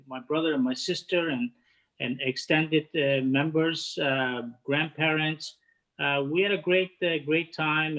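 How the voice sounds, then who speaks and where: Halting Turkish-accented man in his fifties. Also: fairly high pitch, dull audio. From Turkey. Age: 50s. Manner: halting, many "uh" fillers and restarts. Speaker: guest, head of catering. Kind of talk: casual interview chat.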